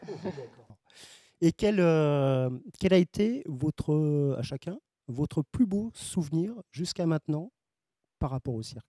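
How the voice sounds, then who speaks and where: Male interviewer, fairly high yet dark-toned, polite questions. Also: animated, slow, no room sound. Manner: polite, hesitant.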